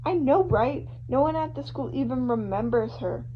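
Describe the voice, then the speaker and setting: A woman's voice: sits high, expressive, normal speed. A woman voicing an eager male true-crime show host, telling the case in an emphatic, conversational way that builds suspense. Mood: amused, emphatic. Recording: muffled lo-fi home recording with hum.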